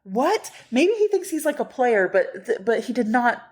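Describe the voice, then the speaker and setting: A woman co-hosting a podcast, telling road trip stories in casual chat, animated, laughing often and swearing freely. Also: fairly high pitch, moderate pace, breathy edge. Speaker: podcast co-host.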